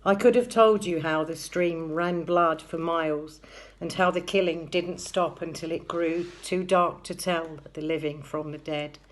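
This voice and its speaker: A woman with a notably low voice recites poetry. She reads with measured phrasing, as in a recital of verse. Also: steady tone. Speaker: poetry reader.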